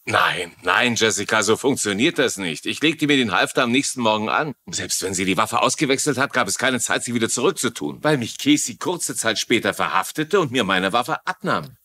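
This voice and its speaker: A stubborn rogue, forceful and animated. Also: male voice, fairly low pitch, normal speed, few pauses. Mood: insistent. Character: stubborn, roguish male gun-carrying murder suspect. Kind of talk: German TV dubbing.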